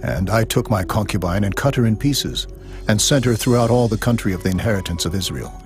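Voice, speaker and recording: A man's voice: fairly low pitch, fairly quick, darkish tone, soft background music, close to an everyday voice. An actor playing a grieving, indignant Levite man in a dramatized scripture production. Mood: grave indignation.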